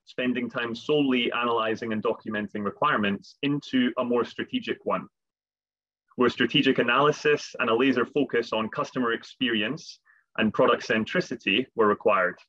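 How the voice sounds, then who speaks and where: A man's voice: mid-range voice, normal speed, pushed and bright. A man in his thirties presenting a business webinar on a video call, often repeating words as he goes. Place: home office, video call. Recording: dull lo-fi video call audio.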